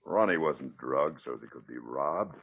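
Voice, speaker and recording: A troubled older police commissioner speaks bluntly in an extremely low, creaky, husky voice. The style is vintage 1940s radio drama, heard through a muffled, narrow old broadcast recording. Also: male voice, animated, a little slow, exaggerated voice. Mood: bitter, defeated. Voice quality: gruff, gravelly.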